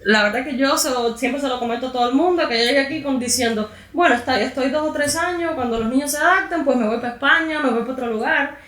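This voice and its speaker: High-voiced Cuban woman, roomy and distant. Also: fast, few pauses, fairly bright tone. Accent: Cuban Spanish. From Cuba. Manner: candid, personal storytelling. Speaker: guest, emigrant mother. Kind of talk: casual conversation.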